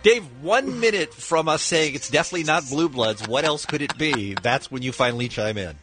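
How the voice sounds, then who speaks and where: A man hosting a morning radio show, bantering and interviewing in a quick, playful, sometimes sarcastic way. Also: fairly high pitch, expressive, music in the background.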